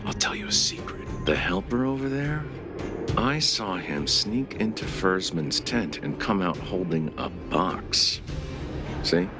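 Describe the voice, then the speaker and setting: An actor playing a gruff, sly, easily affronted camp cook in a video game, theatrical and self-righteous. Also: male voice, low voice, animated, a little slow. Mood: sly, insinuating. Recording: game music behind.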